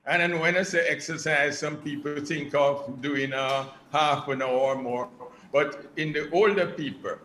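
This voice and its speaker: A man's voice: on the high side, unhurried, fairly bright tone. A man with a Jamaican accent giving public health advice as an expert speaker, recorded in an echoey room. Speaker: doctor, guest expert. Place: press event in a room. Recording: echoey room with faint noise.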